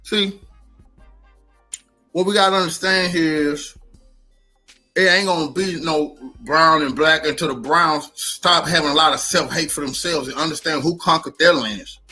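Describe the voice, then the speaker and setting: A man's voice: on the high side, normal speed, sharp tone, soft background music, rough recording. A man giving opinionated livestream commentary in street slang, emphatic and repeating himself for effect.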